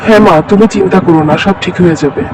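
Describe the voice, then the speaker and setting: A man's voice: high voice, rapid-fire, noisy background, natural voice. A man voicing an earnest, dutiful young village son in a cartoon story. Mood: reassuring.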